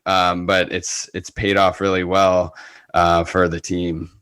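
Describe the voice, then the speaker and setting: A man's voice: deep voice, little pitch movement, slow pace, a bit bright, soft background music. A man speaking casually as an interview guest on a podcast, earnest and enthusiastic. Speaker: podcast guest, real estate agent.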